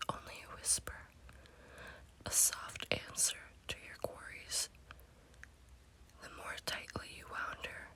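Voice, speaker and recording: A woman hosting a spooky ASMR story series, speaking in a breathy, hushed whisper with a slow, deliberate delivery. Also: rough recording.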